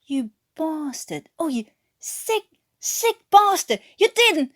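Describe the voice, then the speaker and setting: Woman acting out a furious British soldier, pitched high. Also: very animated, takes it slowly, full character voice. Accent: English, put on by a French speaker. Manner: indignant, slangy, explosive outbursts. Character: furious British prisoner of war, hot-tempered man. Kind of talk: amateur audiobook character reading.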